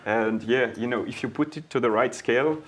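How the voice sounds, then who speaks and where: A man's voice: mid-range voice, a little fast, rough recording. A man with a mid-range voice speaking on a business panel. He talks in a loose, conversational way, peppered with little fillers. The delivery is easygoing and unscripted. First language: not Portuguese. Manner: conversational, peppered with "you know".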